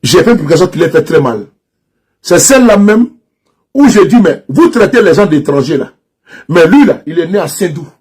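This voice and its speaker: A man's voice: high voice, big pitch swings, normal speed, a little mellow, slightly rough. A man from Ivory Coast with an Ivorian French accent, talking straight to his audience in an insistent, mocking, repetitive way, often restarting his sentences. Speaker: social media commentator. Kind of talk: direct-to-camera political rant.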